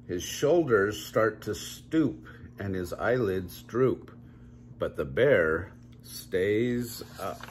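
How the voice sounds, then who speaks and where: An older man reading a children's story aloud at home in measured, drawn-out storybook phrasing. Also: on the low side, animated, a little hiss or hum. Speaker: grandpa reading a picture book aloud. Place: small home room, indoors.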